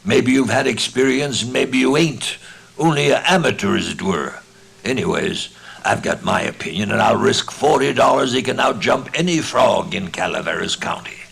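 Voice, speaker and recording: Man as Old West frog gambler, storybook figure, American accent, easygoing, boastful, folksy, gravelly. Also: medium pitch, normal speed, fairly bright tone, pitched above the performer's own voice, exaggerated voice. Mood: confident. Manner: easy, careless, folksy.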